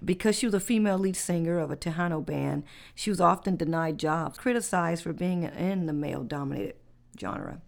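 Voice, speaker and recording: A woman's voice: on the low side, brisk, gravelly. A woman hosting a casual podcast, reading out facts in a chatty, conversational way. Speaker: podcast host. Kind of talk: casual chat.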